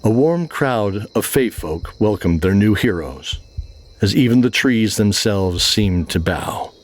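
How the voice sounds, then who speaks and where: A man's voice: on the low side, lively intonation, measured pace. A man narrating a fantasy audio drama in a scripted, dramatic storytelling style.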